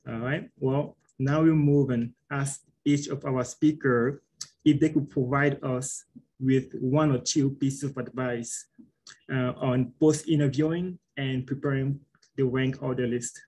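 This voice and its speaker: A young man with a mid-pitched voice hosts a casual webinar over a video call. He talks in a relaxed, friendly way and leans on fillers as he moves between speakers. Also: unhurried, a little hiss or hum, poor audio. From Cameroon, raised in Baltimore. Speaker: webinar host, medical resident.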